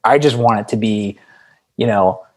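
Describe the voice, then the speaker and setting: A man's voice: on the low side, normal speed, a little mellow. A man speaking as an interview guest in a casual, rambling and reflective way, with a self-deprecating streak. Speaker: podcast guest, indie band frontman.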